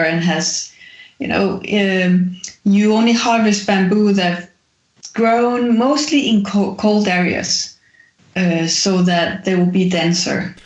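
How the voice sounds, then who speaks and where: A woman in her forties who grew up in Denmark speaks as an interview guest. She explains in a thoughtful, halting way, stopping often on fillers. Her voice sounds roomy and muffled, like lo-fi remote audio. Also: on the low side. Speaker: guest musician.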